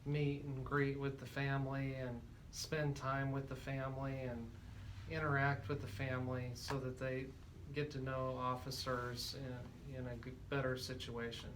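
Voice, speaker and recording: A man's voice: medium pitch, completely flat delivery, moderate pace, a bit bright, slight background noise, poor audio. A man hosting a radio interview, asking questions in a casual, conversational way. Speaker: radio show host.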